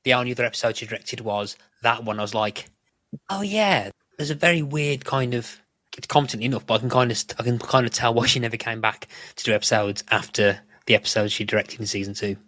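A man's voice: medium pitch, fast, a bit bright, no echo. A British man hosting a podcast, casually discussing a television episode. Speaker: podcast host.

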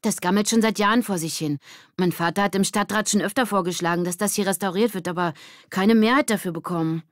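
Woman reading as an earnest kid explaining stuff, pitched up, matter-of-fact, audiobook. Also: fast, no echo, clean studio sound, close to an everyday voice.

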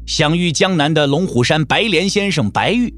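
Guy narrating wuxia audiobook, higher-ish voice, really lively intonation, quiet music behind. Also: moderate pace. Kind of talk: dramatic audiobook narration of a martial-arts novel. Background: soft background music.

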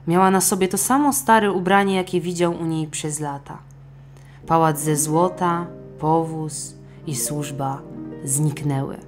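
A woman's voice: low-pitched, a little slow, soft background music. A woman narrating a scripted children's fairy tale audiobook expressively. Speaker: storyteller.

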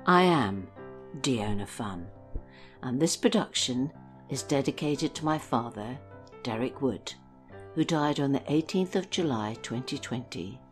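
Very low-voiced woman announcing slowly. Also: animated, soft background music. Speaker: director and credits announcer. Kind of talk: scripted radio play credits.

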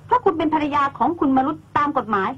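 A woman's voice: sits high, very animated, fast talker, muffled recording. A woman playing a blunt young woman friend who scolds and insists sharply. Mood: indignant.

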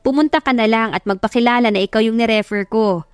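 A woman voicing a friendly, brisk older businesswoman in an audio drama, chatty and practical. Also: fairly high pitch, smooth, dry recording, clean studio sound, soft background music, natural voice. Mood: friendly, businesslike. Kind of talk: Tagalog audio drama acting.

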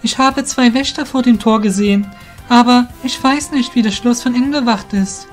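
A man as an earnest high-voiced young thief. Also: moderate pace, faint music behind. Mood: focused. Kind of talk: amateur audio drama acting.

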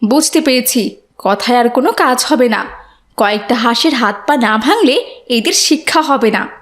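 A woman's voice: on the high side, lively intonation, normal speed, lightly acted. A woman voicing an exasperated farmer's wife and mother in a moral cartoon, nagging with indignant questions. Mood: angry.

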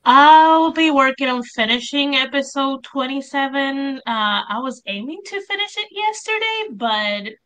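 A woman's voice: high-pitched, expressive, unhurried, hardly any pauses, fairly bright tone. A woman co-hosting a writing stream, chatting in a friendly way about her work on a video call. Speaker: co-host, writer. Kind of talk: casual chat on a video call.

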